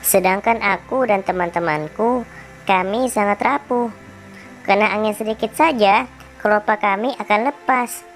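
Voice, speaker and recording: A male teacher voicing a gentle, wise female rose flower in a falsetto while reading a children's fable. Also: normal speed, faint music behind. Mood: kindly explaining. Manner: falsetto, kindly and explaining.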